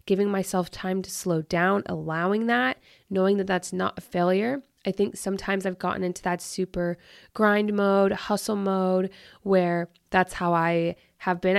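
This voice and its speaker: A young woman hosting her own podcast in upbeat, chatty talk, her voice a bit lower and rougher after allergies early in the morning. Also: a little mellow, no echo, clean studio sound. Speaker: podcast host. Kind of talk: casual solo podcast talk.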